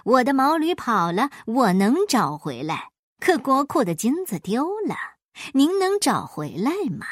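A woman voicing a calm, teasing male folk trickster in a children's story, unhurried and mock-innocent. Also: a little high, dry recording, clean studio sound.

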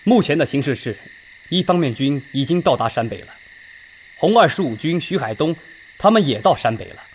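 A man's voice: fairly high pitch, expressive, a little fast, close to an everyday voice. Earnest army commander over night-time noise, acted by a man. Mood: assured. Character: earnest Red Army commander, analytical and resolute. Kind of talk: Chinese radio drama acting.

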